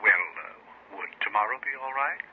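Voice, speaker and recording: An actor playing a soft-spoken, apologetic con man who feigns sympathy in an old-time radio drama. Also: medium pitch, moderate pace, close to an everyday voice. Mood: falsely apologetic. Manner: polite, hesitant, solicitous. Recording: muffled, noisy vintage radio recording.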